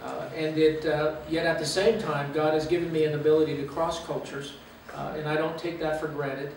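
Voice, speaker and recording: A man in his fifties from Los Angeles, giving an earnest, reflective talk as a guest speaker with frequent "uh" pauses. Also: a little high, little pitch movement, darkish tone, slight background noise. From Los Angeles, USA. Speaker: guest speaker, university president. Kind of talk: personal talk to a church gathering. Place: meeting room or church hall. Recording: echoey room, mic far away.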